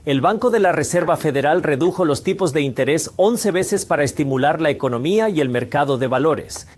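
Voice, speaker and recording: A man's voice: fairly high pitch, a little mellow. A man hosting a scripted finance explainer, laying out points in a didactic question-and-answer manner. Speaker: host of a finance explainer channel. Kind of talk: scripted explainer narration, dubbed from another language.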